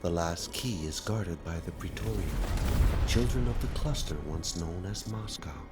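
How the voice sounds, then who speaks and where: A calm artificial intelligence guiding a mission in a video game. The voice is deep, formal and authoritative. Music runs clearly behind it. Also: male voice. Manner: measured, formal. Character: calm, authoritative male AI guide briefing an agent. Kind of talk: scripted game briefing.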